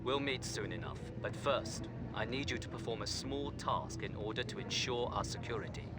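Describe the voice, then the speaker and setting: An actor playing a measured, enigmatic male Chinese colonel and agent, speaking formally and deliberately in a cinematic game. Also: mid-range voice, over loud music, lightly acted. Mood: cryptic, instructing.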